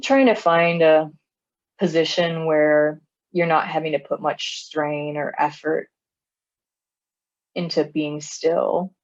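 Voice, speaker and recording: A woman leading a guided body scan meditation in a calm, soothing manner, leaving long pauses between phrases. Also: sits low, highs cut off. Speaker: meditation teacher.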